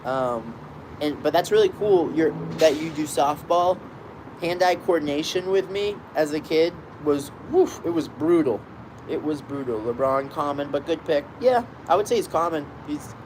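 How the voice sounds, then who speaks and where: A young man talks casually from an outdoor balcony, rambling and restarting his sentences. His voice sits a bit high for a man. Traffic noise from the street comes through clearly behind him. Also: normal speed. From Boston area, Massachusetts. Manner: rambling, chatty, many fillers and restarts. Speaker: streamer reading chat. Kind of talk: casual live chat.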